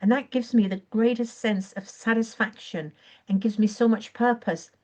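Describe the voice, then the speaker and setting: A woman coaching on a live stream, her voice croaky and her throat often clearing, talking in an earnest, encouraging way and now and then correcting herself. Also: on the high side, steady tone, normal speed, darkish tone. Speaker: online business coach. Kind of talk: live-streamed training talk.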